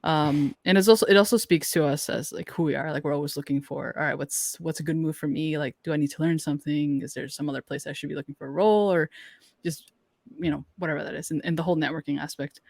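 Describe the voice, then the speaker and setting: A man's voice: a little high, fairly even intonation, fast talker, gravelly, dry recording. A young man chats casually as a podcast co-host, thinking aloud in an informal, reflective way. The sound is dry, with very little room around the voice. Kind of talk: casual conversational podcast talk.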